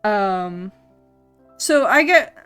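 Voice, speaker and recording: A young woman with a mixed, mostly Canadian-sounding accent, talking slowly and loosely while she draws on stream. She rambles with frequent ums and small restarts and often laughs. Faint music plays behind her voice. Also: medium pitch, animated, a bit bright, clear, resonant tone. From Scotland. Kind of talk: casual chat while drawing on stream.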